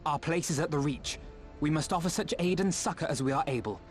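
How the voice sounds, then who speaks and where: A man's voice: fairly high pitch, fairly quick, lightly acted. An actor playing an earnest, noble young man in a video game, formal, articulate and resolute. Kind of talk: video game cutscene acting. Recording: music behind the voice.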